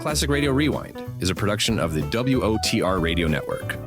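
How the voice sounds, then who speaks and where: Animated low-voiced male outro announcer. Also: fast, music in the background. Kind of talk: scripted promotional announcement.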